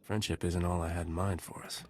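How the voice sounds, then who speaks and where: An actor voicing a wry, cocky gunslinger smuggler captain in a video game, dry and sardonic. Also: male voice, sits low, level tone, a little fast, slight background noise, natural voice. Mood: cool, sardonic.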